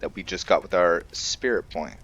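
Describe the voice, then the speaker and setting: A man hosting a gaming channel, giving laid-back, halting roleplay commentary as he thinks aloud. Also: low-pitched, fairly bright tone. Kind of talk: casual roleplay game commentary.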